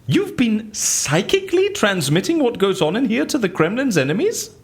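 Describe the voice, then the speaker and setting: Wildly animated, high-voiced excitable schemer. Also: male voice, normal speed. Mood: incredulous. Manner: theatrical, incredulous, exclamatory. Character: excitable, inquisitive man scheming inside a prison. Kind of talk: audio drama acting.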